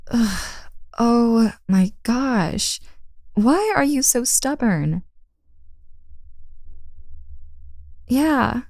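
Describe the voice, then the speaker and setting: A woman's voice: mid-range voice, gravelly, warm tone, no room sound, studio quality. A woman playing a confident, teasing young woman in an intimate roleplay audio drama, speaking casually and playfully to the listener. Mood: playfully exasperated.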